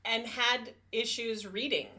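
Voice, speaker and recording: A woman's voice: on the high side, steady tone, slow, bright, forceful tone. A woman hosting a casual book review podcast in a chatty, informal and agreeable way. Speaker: podcast host.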